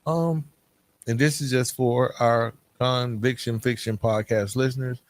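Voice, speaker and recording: A man's voice: medium pitch, fairly even intonation, a little slow, no room sound. A man hosting a solo podcast, talking candidly and informally in a half-scripted, conversational way, checking in with "right?" as he makes his points. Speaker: podcast host.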